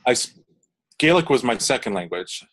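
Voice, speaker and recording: A man's voice: mid-range voice, sing-song, normal speed, fairly bright tone. A young man, a composer, answering interview questions in casual talk, rambling with many fillers and restarts.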